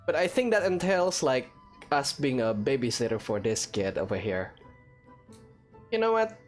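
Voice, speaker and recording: A woman doing casual gameplay commentary in a halting, dryly joking way. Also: a bass voice, sing-song, soft background music. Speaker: gaming streamer.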